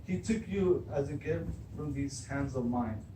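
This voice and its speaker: A man's voice: medium pitch, unhurried, warm tone, lightly acted. A man acting a plain-spoken messenger and former shepherd in an amateur stage reading. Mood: matter-of-fact. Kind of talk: beginning drama class readers theatre. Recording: echoey room, distant mic, clearly noisy.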